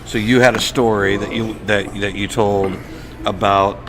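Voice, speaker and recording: A man hosting a podcast in casual chat, enthusiastic and somewhat halting, often repeating words. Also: lowish voice, fairly even intonation, crowd noise. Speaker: podcast host. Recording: faint background noise.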